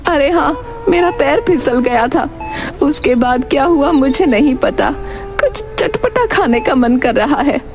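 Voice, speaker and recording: Lady doing a weak village mom for a cartoon story, high voice, homely and thankful, music behind. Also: animated, a little slow. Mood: weak, grateful. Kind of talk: cartoon moral story acting.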